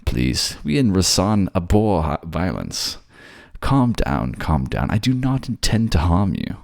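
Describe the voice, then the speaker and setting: A man's voice: deep voice, big pitch swings, a little slow, vocal fry, darkish tone, no room sound, studio quality, exaggerated voice. A man playing a suave, manipulative fantasy ruler who coaxes his captive in an intimate ASMR audio drama. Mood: smooth placating. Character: suave manipulative male water-folk ruler, soothing captor.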